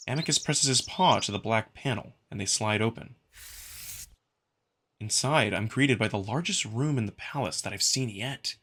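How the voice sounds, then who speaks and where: A man's voice: mid-range voice, fairly bright tone. A man reading dramatic scripted narration for a story. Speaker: narrator and reader of a visual novel.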